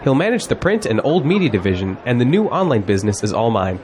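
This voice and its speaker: A man's voice: medium pitch, very animated, fast, darkish tone, slight background noise, close to an everyday voice. A man playing a cocky, exuberant young college graduate who is polite to elders, in an audio drama. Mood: confident. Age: young adult.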